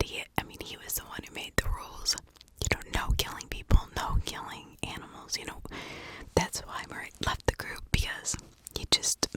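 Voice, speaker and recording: A woman whispering in an informal ASMR style, telling stories and giving her own opinions in a breathy, conversational way. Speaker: ASMR true crime storyteller, channel host.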